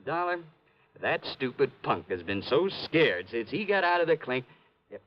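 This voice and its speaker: A man's voice: mid-range voice, very animated, normal speed, close to an everyday voice. A man playing a brash, dismissive American police sergeant in 1950s radio drama style, slangy and impatient. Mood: dismissive, explaining. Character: gruff, dismissive, know-it-all male police sergeant. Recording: dull vintage radio recording.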